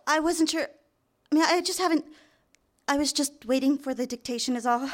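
An actress playing a nervous, eager young secretary smitten with her boss, halting and deferential, in a live radio drama. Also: high-pitched, natural voice. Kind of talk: live radio drama acting with first-person narration. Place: theater stage, live show.

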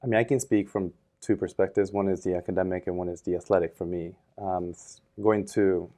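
A man in his thirties, a podcast guest, talking in a thoughtful, relaxed way with many fillers and restarts. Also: low voice, brisk. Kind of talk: casual interview.

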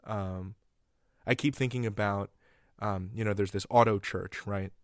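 Low-pitched man hosting, dry close sound. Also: steady tone, no echo. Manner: halting, thoughtful, tentative phrasing. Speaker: interviewer, podcast host. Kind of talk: casual conversational interview.

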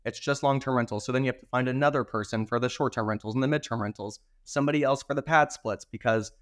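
Hoarse man explaining his business. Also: quick pace. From Arizona. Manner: confident, explanatory, steady pitch. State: hoarse from recent illness. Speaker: podcast guest, property management owner. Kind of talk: casual interview answers.

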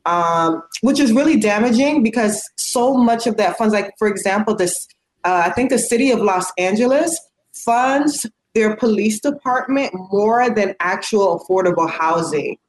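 A woman's voice: medium pitch, lively intonation, moderate pace, echoey room, slight background noise, low-quality recording. A woman speaking in casual conversation, earnest and outspoken, with lots of fillers. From United States.

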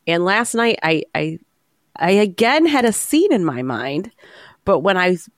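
A woman's voice: medium pitch, big pitch swings, a little slow, no room sound, studio quality. A woman co-hosting a podcast in casual chat, sounding nasal from a cold and telling stories with frequent restarts. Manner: storytelling, restarts often. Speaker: podcast co-host, author.

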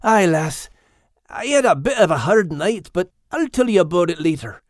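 An actor plays a plucky wee male dog with a Scottish accent. The delivery is spirited and folksy, swooping up and down like a lively children's audio story, recorded close and dry. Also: medium pitch, normal speed, no room sound, natural voice. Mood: concerned, earnest. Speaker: co-host and character in an animal story podcast. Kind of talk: animated children's audio drama.

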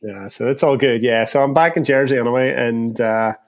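A man's voice: medium pitch, steady tone, a little fast. Northern Irish man chatting casually. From Derry, north of Ireland. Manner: self-deprecating, joking. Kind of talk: casual conversational storytelling.

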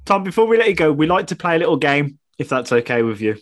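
A man's voice: fairly high pitch, very animated, fast talker. A man hosting a show, casually putting questions to a guest. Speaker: show host, interviewer. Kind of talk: casual interviewing.

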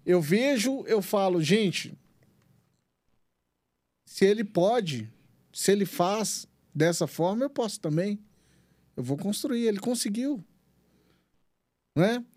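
A man's voice: high-pitched, expressive, slow, long pauses, a little mellow, no echo, clean studio sound. A man interviewing a guest, talking earnestly and at length, often repeating and restarting his words. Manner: earnest, rambling, repeats himself. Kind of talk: interview questioning.

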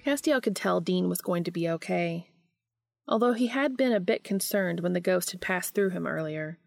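Female fiction narrator, lowish register with noticeable vocal fry, dry intimate sound. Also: lively intonation, normal speed, soft background music. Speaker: audiobook reader of fan fiction. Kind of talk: scripted story narration.

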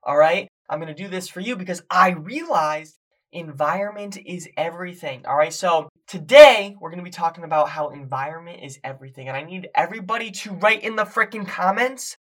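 A hoarse young man, a fitness coach and podcast host, talking energetically to viewers on a live stream as he loses his voice. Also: high voice, a little fast. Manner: hyped, shouting encouragement to viewers.

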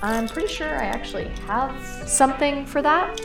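A woman's voice: sits high, expressive, normal speed, vocal fry. A woman playing a cocky, encouraging burglar in an audio drama, with a casual, playful, self-assured delivery. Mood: smug, playful. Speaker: voice actor in a fiction podcast. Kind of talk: naturalistic audio drama acting. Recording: roomy echo, music underneath.

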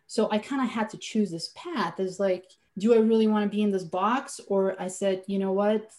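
A woman's voice: mid-range voice, normal speed, darkish tone. Earnest woman. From Slovenia. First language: Slovenian. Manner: earnest, reflective, with frequent restarts. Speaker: guest, finance business owner. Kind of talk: podcast interview answers.

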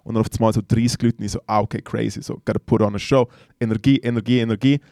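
A man's voice: lowish voice, fairly even intonation, racing, gravelly, mellow, dry recording, clean studio sound. A young Swiss man with a Swiss German accent, chatting casually as a podcast co-host and telling slangy, laugh-filled stories. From Switzerland. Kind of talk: casual chat.

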